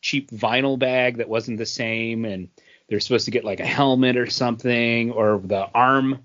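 A middle-aged man co-hosting a podcast, chatting casually about pop culture in a rambling, halting way. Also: mid-range voice, steady tone, fairly bright tone. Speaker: podcast co-host. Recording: dull lo-fi podcast audio.

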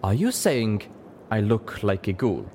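A man voicing a proud, blunt female zebra who speaks in a slow, wary, indignant way in a fantasy audio story. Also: fairly low pitch, sing-song, dark tone, slight background noise. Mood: affronted.

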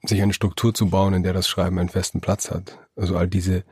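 A man's voice: low voice, little pitch movement, fairly quick, a little mellow, slightly rough, dry recording, studio quality. A man, a novelist answering interview questions thoughtfully, often restarting his words. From Germany. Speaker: novelist guest. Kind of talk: reflective interview talk.

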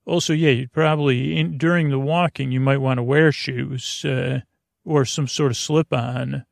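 A middle-aged man with a creaky, gravelly voice hosting a bedtime podcast, rambling haltingly with frequent restarts and digressions, recorded in a small closet at home. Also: mid-range voice, steady tone, no room sound, clean studio sound. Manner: halting, digressive, constantly restarting mid-thought. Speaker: host of a bedtime sleep podcast. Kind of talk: rambling, meandering bedtime monologue.

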